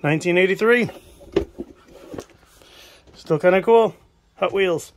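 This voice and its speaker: Male vlogger, fairly high-pitched, relaxed commentary, phone mic in a cramped shed, faint background noise. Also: slow. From New England. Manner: relaxed, musing aloud, talks to viewers. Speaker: estate sale buyer filming a shed cleanout. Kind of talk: casual running commentary while picking items. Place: cramped wooden shed, indoors. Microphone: handheld phone camera.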